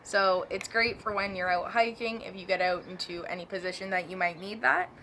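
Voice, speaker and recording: A woman's voice: medium pitch, fairly quick, sharp tone. Casual woman demoing a product, some background noise. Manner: informal, chatty, self-correcting. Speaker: product reviewer. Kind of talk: casual hands-on product demo.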